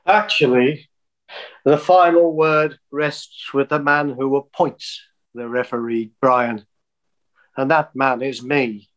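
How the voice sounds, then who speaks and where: Dry, exasperated older football official. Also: male voice, medium pitch, fairly even intonation, fairly bright tone, rough recording. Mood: dry, firm. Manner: measured, dry, hesitant in reprimand. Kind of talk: filmed comedy acting. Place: room at home, on a video call.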